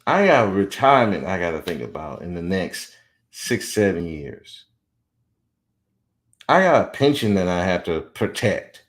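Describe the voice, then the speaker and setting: Blunt, animated man in his forties. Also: low voice, measured pace. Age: 40s. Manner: blunt, animated, mocking when quoting others. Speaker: podcast host. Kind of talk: opinionated commentary monologue.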